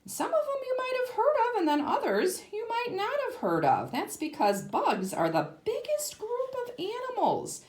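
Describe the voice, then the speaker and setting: A woman teaching young children in a read-aloud lesson, explaining simply and deliberately, with emphasis and questions to the listener. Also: very high-pitched, wildly swooping pitch, darkish tone. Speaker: kindergarten teacher.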